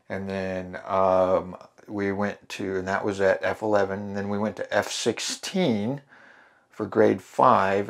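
A man hosting a hands-on darkroom printing tutorial, talking through each step in a relaxed way with fillers and restarts. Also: low voice, creaky. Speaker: photography channel host. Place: home darkroom in a laundry room.

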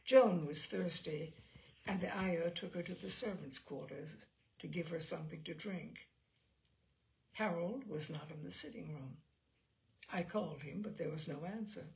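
A woman's voice: on the low side, measured pace, vocal fry, low-quality recording. A woman performing a tormented, bitter wife making a confession in a dramatic, intense storytelling manner. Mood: quiet recollection. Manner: dramatic, intense, hushed then rising to cries.

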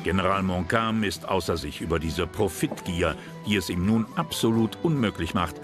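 A man's voice: low-pitched, lively intonation, moderate pace. A man reading scripted documentary narration as the narrator. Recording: music behind the voice. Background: music.

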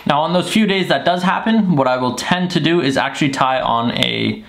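Young man with a gravelly voice. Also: fairly high pitch, normal speed, slight background noise. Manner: relaxed, explanatory, lots of "uh" and "like". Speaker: fishing channel host. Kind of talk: casual tutorial vlog. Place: small bedroom, indoors.

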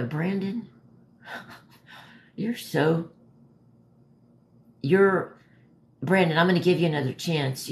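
A hoarse woman talks casually to her livestream viewers, stopping and starting as she explains. Her voice sits a little low and crackles with fry. The phone is a step away in a roomy, echoing home space. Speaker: livestream host. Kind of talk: casual livestream chat answering viewer comments. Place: dim living room at home, indoors. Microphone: phone propped a step or two away. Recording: echoey room, phone at a distance.

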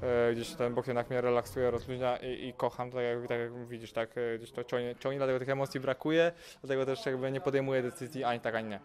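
Young male boxer, flat delivery, halting with fillers, handheld mic at an event. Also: mid-range voice. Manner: hesitant, many fillers and repeated words. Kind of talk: casual interview answers. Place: event press wall, indoors. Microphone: handheld mic held by interviewer.

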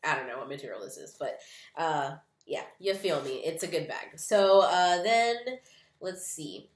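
A woman's voice: low-pitched, expressive, a little slow, echoey room. A young woman in her twenties with a stuffy, nasal voice from a cold, chatting casually and rambling through a beauty box unboxing, recorded on a webcam in a small room. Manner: chatty, rambling, many "um" and "like" fillers. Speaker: beauty vlogger.

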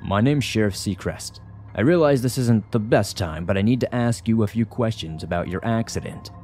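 A man voicing a stern middle-aged sheriff who calmly questions an accident victim. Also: fairly low pitch, slightly rough, faint music behind. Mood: calm, probing. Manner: measured, probing questions.

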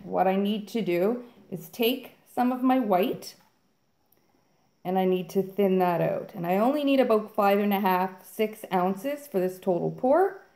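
A woman's voice: mid-range voice, unhurried, mellow, roomy sound. A woman hosting a fluid art channel, chatting casually and upbeat to viewers while she mixes paint. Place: home art studio, indoors.

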